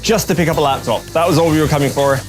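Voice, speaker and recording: A man's voice: fairly high pitch, very fast, faint music behind. A man chatting casually and wryly to camera as a sailing vlogger aboard a boat at sea. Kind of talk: casual vlog narration to camera.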